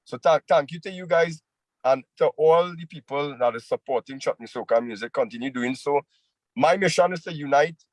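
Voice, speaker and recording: A man's voice: on the high side, moderate pace, dry recording, poor audio. A man with a Trinidadian accent, a singer speaking earnestly as a guest on a video call, often repeating words as he goes. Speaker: chutney soca singer, guest. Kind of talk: casual interview over a video call.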